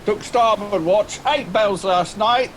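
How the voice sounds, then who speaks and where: A very high-pitched, frightened sailor. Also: male voice, slow pace, a bit bright, rough recording. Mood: fearful. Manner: hesitant, uneasy. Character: frightened, superstitious sailor, the ship's mate. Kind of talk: horror radio drama acting. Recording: clear rain and ambient noise behind.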